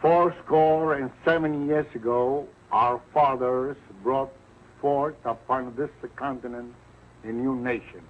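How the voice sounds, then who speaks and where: An actor playing an eager, earnest immigrant with an Italian accent and broken English, in an early television sitcom. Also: male voice, medium pitch. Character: eager, earnest immigrant man seeking citizenship. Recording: muffled old 1940s broadcast.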